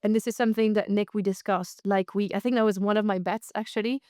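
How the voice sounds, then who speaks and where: A woman's voice: medium pitch, steady tone, fast, darkish tone, clean tone, no room sound, studio quality. A woman co-hosting a podcast, discussing industry news conversationally and often rephrasing as she explains.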